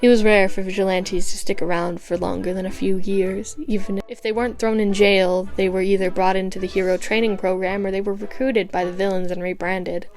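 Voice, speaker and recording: A woman's voice: mid-range voice, little pitch movement, fairly quick, hardly any pauses, darkish tone. A woman reading a story aloud as a dramatic audiobook-style narration. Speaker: fan fiction reader. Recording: background music under the voice. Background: music.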